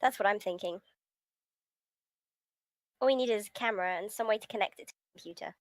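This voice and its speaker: A woman's voice: mid-range voice, fairly quick, no room sound. A woman streamer reading a visual novel aloud, giggly and breaking from the script with casual reactions. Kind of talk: live stream read-aloud with casual asides.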